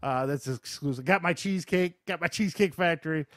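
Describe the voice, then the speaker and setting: A middle-aged man hosting a podcast, telling casual anecdotes in an animated, excitable way with some swearing. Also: on the high side, fairly quick, dry recording, clean studio sound. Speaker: podcast host.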